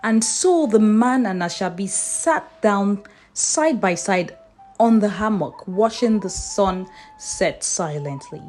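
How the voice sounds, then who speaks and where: Female storyteller for folktales, theatrical and expressive, taking her time, with swooping intonation. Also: medium pitch, slow, faint music behind. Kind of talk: dramatic folktale narration.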